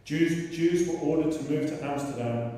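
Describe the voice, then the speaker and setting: A man's voice: a little high, normal speed, warm tone. A man reading a prepared personal testimony as an invited speaker at a service. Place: inside a large church. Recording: very echoey church, distant mic.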